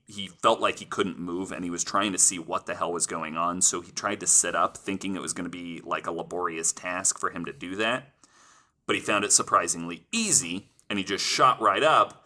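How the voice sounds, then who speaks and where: A man's voice: low-pitched, pushed and bright. A man hosting a podcast, reading out researched stories with casual asides and plenty of "like". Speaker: podcast host telling the story.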